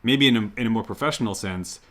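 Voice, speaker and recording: Casual man with a lowish voice. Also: fast, fairly bright tone. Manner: informal, tentative, loosely phrased. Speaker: interviewer asking audience questions. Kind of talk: casual chat, informal questions.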